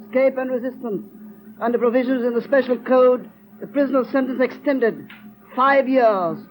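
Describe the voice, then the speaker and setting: An actor playing a cold prison official, proclaiming sentences in a clipped, impersonal way for an old radio drama. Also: male voice, extremely high pitch, normal speed, faint music behind, low-quality recording. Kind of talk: 1930s radio drama acting. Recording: old 1930s radio broadcast.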